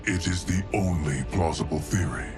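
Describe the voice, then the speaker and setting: A man's voice: sits low, normal speed, natural voice. A man playing an impassive ancient timekeeper in a video game, formal and detached in his delivery. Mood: detached, certain. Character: impassive, ancient male keeper of time. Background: loud cinematic music.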